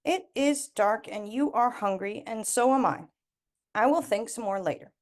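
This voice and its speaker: A woman voicing a kindly, fretful old knitter from a storybook, with drawn-out exclamations as if talking to herself. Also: fairly high pitch, darkish tone, natural voice. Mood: weary.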